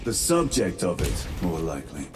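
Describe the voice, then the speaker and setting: Grave space marine officer. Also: male voice, low-pitched, very animated, moderate pace, music in the background. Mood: grim. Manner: grave, terse, formal. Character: stern, resolute veteran male space marine lieutenant. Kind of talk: video game cutscene acting.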